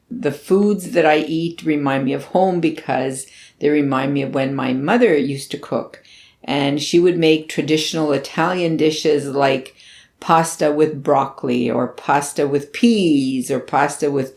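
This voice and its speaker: An older woman speaks slowly and gently about her life. Her voice carries a gravelly fry, and she pauses often to think. She is from Canada and talks in a relaxed, unscripted way. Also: sits low. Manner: slow, thoughtful, with frequent ums and restarts. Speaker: interviewee sharing memories. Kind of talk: personal reflection, unscripted.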